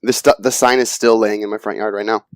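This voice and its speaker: A man's voice: fairly low pitch, fairly even intonation, fast talker, no room sound. A man co-hosting a podcast, telling a story in a casual, laid-back way with fillers and swearing. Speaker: podcast co-host. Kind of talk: casual chat.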